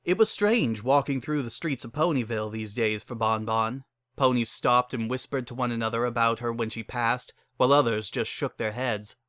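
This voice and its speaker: Bright-voiced man narrating a story. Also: mid-range voice, normal speed, no echo, studio quality. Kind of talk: scripted narration of a fan fiction story.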